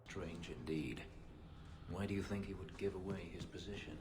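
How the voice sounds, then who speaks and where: A pensive fantasy game companion. His voice is deep, heard over clear ambient noise. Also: normal speed. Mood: puzzled, pensive. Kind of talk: video game character acting.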